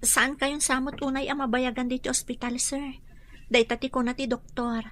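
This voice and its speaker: High-voiced meek young Filipino housemaid, played by an actress. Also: female voice, level tone, moderate pace, fairly bright tone, slight background noise. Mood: worried, polite. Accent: Filipino, Ilocano. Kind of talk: Ilocano radio drama acting.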